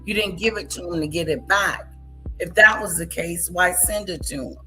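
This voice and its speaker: A woman's voice: on the low side, moderate pace, sharp tone, faint music behind. A woman hosting a reaction commentary, scolding and incredulous as she picks apart a clip. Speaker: commentary channel host.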